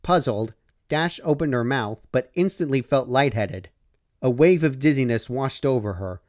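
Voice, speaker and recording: A man narrates a story from a script. His voice is medium in pitch and moves with very lively, expressive intonation. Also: normal speed. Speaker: fan fiction narrator.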